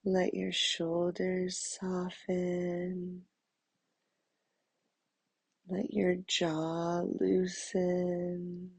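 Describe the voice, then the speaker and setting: A woman softly guiding a meditation in a soothing, breathy, husky voice, leaving long pauses between phrases. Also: lowish voice, monotone, dry recording. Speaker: meditation guide. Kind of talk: guided meditation narration.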